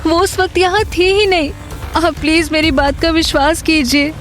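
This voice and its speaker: A woman's voice: very high-pitched, moderate pace, fairly bright tone. A woman playing an innocent, frightened young village woman in an audio drama, pleading earnestly and politely. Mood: tearful pleading. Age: young adult. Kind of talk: Hindi audio drama acting. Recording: music bed under the voice.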